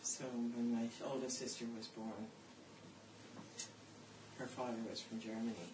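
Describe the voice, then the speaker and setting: An older woman from Canada shares family memories in casual conversation. She tells them with animation, repeating phrases for weight, at a medium pitch. She sounds set back from the microphone in an echoey room with clear background noise. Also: fairly even intonation, a little slow, recorded from a distance. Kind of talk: casual reminiscing about family history.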